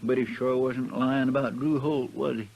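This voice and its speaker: An actor playing a naive, excitable deputy with an earnest, folksy drawl in a 1950s western radio drama. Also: male voice, mid-range voice, moderate pace, creaky, muffled recording. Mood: surprised, dismayed. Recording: noisy vintage 1950s radio recording.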